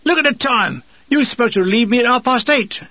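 A man's voice: on the high side, expressive, normal speed, creaky, breathy edge, no echo, exaggerated voice. A man voicing an enraged, scolding British army gunner in a comic memoir reading. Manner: scolding, blunt. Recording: dull, lo-fi recording.

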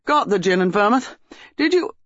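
Shaken young husband, acted by an audiobook reader: sullen, blurting, fierce, husky, wide swings in intonation. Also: mid-range voice, animated, normal speed, a bit bright, dry recording, lo-fi sound, pitched above the performer's own voice. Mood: brisk.

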